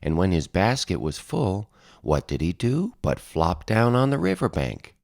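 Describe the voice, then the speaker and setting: A man narrating a children's picture book as an expressive read-aloud. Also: sits low, measured pace, vocal fry, dry recording, clean studio sound. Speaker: storybook narrator.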